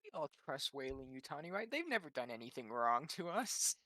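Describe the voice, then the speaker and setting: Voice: medium pitch, very animated, fairly quick, bright, forceful tone, no echo. A person chatting casually as a player in a tabletop game. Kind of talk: casual chat.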